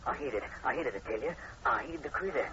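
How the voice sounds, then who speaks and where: A male actor plays a frightened, superstitious country farmhand in a vintage radio drama. He talks in a folksy, excitable way with wide swings of pitch. The recording is dull and clearly noisy. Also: fast, close to an everyday voice. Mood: frightened, urgent. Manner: folksy, excitable rural speech. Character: scared, superstitious rustic farmhand. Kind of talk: old-time radio drama acting.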